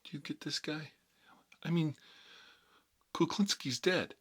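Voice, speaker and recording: A man playing a cagey, cocky mob boss in an ASMR role-play, talking unhurried in needling tough-guy street talk. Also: fairly high pitch, a bit bright, husky. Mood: guarded, defiant.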